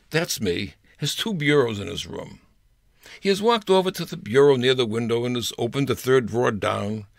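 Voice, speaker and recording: A man's voice: mid-range voice, creaky, a bit bright, no echo, clean studio sound. An older man reading his own humorous personal story aloud in a wry, storytelling manner. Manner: wry storyteller with playful voices.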